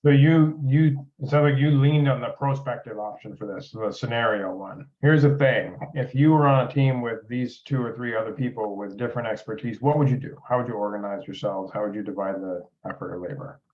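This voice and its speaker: A man's voice: mid-range voice, fairly quick, hardly any pauses. Man leading a group discussion, dull lo-fi audio. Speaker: meeting facilitator.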